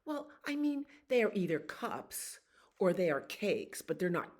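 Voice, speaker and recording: A woman's voice: lowish voice, big pitch swings, a little slow, darkish tone, voice pushed up. A woman voicing a group of bossy, know-it-all pond frogs in a raised, teasing voice that sometimes drops to a whisper.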